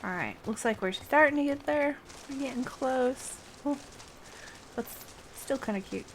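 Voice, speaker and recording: Slow-paced, playful woman game streamer. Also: high voice, background noise. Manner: chatty, giggly, playful, self-deprecating. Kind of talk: casual livestream gameplay chat.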